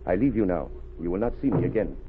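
An actor playing a stern foreign spymaster in a 1930s radio drama, heard on an old tinny recording. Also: male voice, low-pitched, expressive, fast talker, background noise. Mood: cold.

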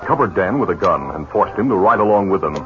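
A man giving measured, dramatic scripted narration for a 1950s radio western. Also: deep voice, animated, over music. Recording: muffled old radio broadcast with hiss.

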